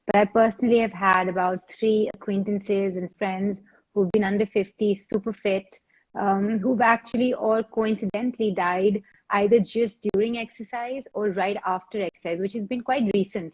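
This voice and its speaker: A woman's voice: medium pitch, a little mellow, poor audio. A woman, explaining as a fitness trainer on a discussion panel, repeating words as she thinks aloud. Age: 40s.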